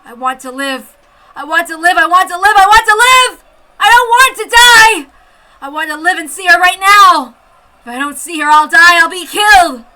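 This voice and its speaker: A woman voicing a terrified, desperate Japanese soldier man, shouting frantically and melodramatically as she reads a story aloud. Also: very high voice, expressive, moderate pace, pitched above the performer's own voice, full character voice, low-quality recording. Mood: desperate, panicked.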